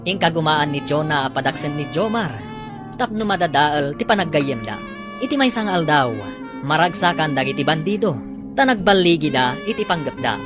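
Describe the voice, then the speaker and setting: A man's voice: on the high side, expressive, moderate pace, over music. A man from the Philippines announcing a radio drama episode in a formal, scripted way.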